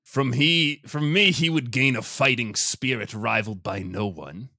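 A man voicing a gruff, proud mercenary swordsman who speaks tersely in a dating show parody. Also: mid-range voice, measured pace, bright, forceful tone, dry recording, studio quality. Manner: terse, declarative.

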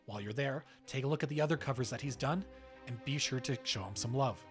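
A man's voice: mid-range voice, fast talker, faint music behind. A man hosting a podcast, delivering scripted announcements. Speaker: podcast host.

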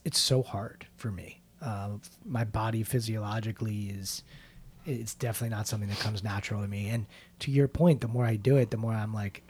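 Mellow, slightly husky male host. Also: lowish voice. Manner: curious, probing, reflective questions. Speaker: interview host. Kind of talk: conversational interview questions.

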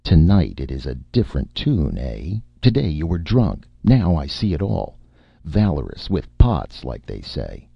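A man's voice: rumbling low, wildly swooping pitch, unhurried, creaky, slightly rough, no room sound, dull audio, full character voice. A man voicing a bullying, heavy-set male film director in a story, with stilted phrasing and a threatening, domineering manner. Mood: sinister, gloating.

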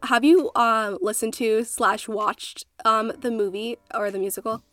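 A woman's voice: on the high side, steady tone, unhurried, fairly bright tone, no room sound, soft background music. A congested woman co-hosting a casual history podcast from a closet, telling stories in a chatty, playful way with lots of fillers. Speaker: podcast co-host. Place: small closet at home. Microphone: makeshift home setup.

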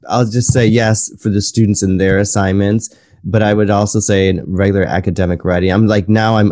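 Enthusiastic male researcher, dark mellow voice, dry close-up sound. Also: deep voice, no echo. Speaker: academic researcher. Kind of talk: casual academic conversation.

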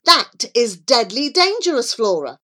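A woman's voice: very high-pitched, huge pitch swings, measured pace, pushed and bright, voice pushed up, full character voice. A woman voicing a nervous young boy in a children's story, reluctant and dramatically emphatic. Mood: alarmed, protesting. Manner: protesting, emphatic.

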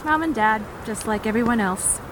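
Audio drama part: woman playing blunt loner survivor, fairly high voice, dry and standoffish; light background noise. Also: normal speed, a bit bright, lightly acted, poor audio. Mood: guarded, curt. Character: curt, guarded, gruffly tolerant female survivor.

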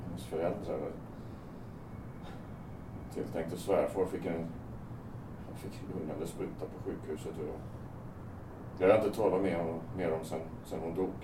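A man's voice: low-pitched, fairly even intonation, long pauses, lightly acted. A man acting in an audio drama as a despairing, guilt-ridden man, agitated and bitter. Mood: anguished, guilt-ridden. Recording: roomy, distant, noisy amateur-sounding recording.

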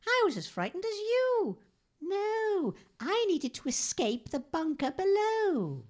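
A woman's voice: very high-pitched, huge pitch swings, slow pace, darkish tone, full character voice. A woman voicing a weary, blunt mutant woman who speaks in a plain, matter-of-fact way. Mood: dry.

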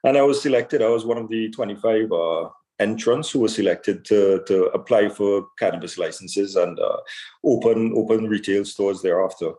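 A man from Trinidad and Tobago answering interview questions conversationally as a store owner, haltingly, repeating words as he searches for phrasing. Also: fairly low pitch, warm tone.

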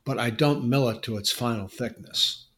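A man co-hosting a podcast, answering a listener question in a relaxed, explanatory way with frequent restarts. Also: mid-range voice, moderate pace. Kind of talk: casual podcast chat.

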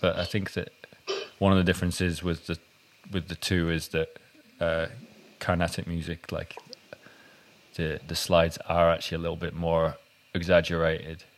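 A man's voice: low-pitched, monotone, moderate pace. A man in casual conversation who is learning the violin, tentative and often trailing off. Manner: tentative, trails off and hedges a lot.

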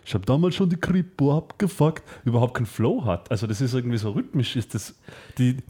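A man with a husky, slightly rough voice in casual podcast chat. He teases the others with dry jokes and pulls the talk back on track. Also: mid-range voice, lively intonation, a little fast, dark tone. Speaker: podcast co-host.

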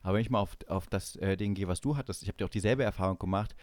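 A man's voice: low-pitched, very fast, a little mellow, no room sound. A man in his forties from East Germany chatting casually as a podcast co-host, telling stories with teasing banter. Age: 40s. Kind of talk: casual chat, storytelling.

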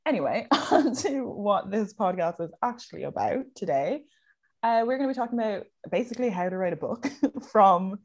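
A woman's voice: lowish voice, darkish tone. A woman co-hosting a podcast in casual chat, laughing often through her lines. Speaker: podcast co-host.

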